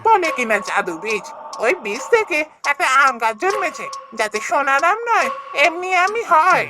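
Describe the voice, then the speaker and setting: A woman voicing a greedy, sly and excitable male village woodcutter in a cartoon fairy tale, with exaggerated delivery. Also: sits high, normal speed, over music. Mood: sly, feigning. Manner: exaggerated, animated.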